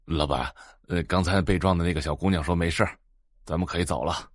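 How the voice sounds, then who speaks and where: An actor playing a humble, apologetic middle-aged driver, speaking hesitantly in an audio drama. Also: male voice, low voice, fast, dry recording, clean studio sound. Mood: deferential. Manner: hesitant, deferential.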